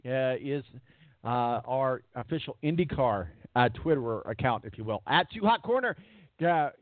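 Man, sports radio host, hoarse voice, rambling with fillers, phone-like dry sound. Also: mid-range voice, animated, moderate pace, no echo. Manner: rambling, repeats words, many fillers. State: losing his voice. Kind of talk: casual radio show chat. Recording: tinny phone-line quality, dry.

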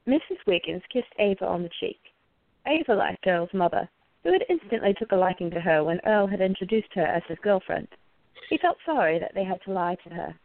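A woman's voice: medium pitch, expressive, a little fast, vocal fry, no echo, phone-quality sound. A woman reading scripted narration for a radio play.

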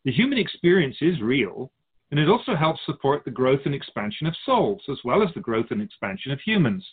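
Casting: male actor as otherworldly keeper of souls, wise and serene, lilting widely, husky edge, radio drama. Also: on the high side, big pitch swings, fairly quick, exaggerated voice. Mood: serene, knowing. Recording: slightly muffled, phone-like narrow sound.

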